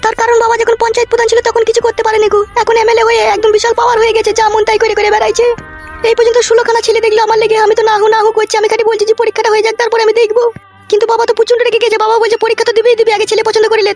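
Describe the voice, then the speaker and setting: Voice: very high voice, completely flat delivery, very fast, soft background music. A performer voicing a pleading, anxious young woman in love in a comedy cartoon. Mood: worried pleading.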